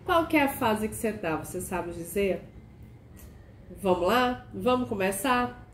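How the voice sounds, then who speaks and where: A woman hosting a casual video chat. She sounds nasal and hoarse from a cold and keeps asking the viewer questions. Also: medium pitch, animated, measured pace. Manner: chatty, asks the viewer many questions. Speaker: archetype consultant and channel host. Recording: echoey room with faint noise.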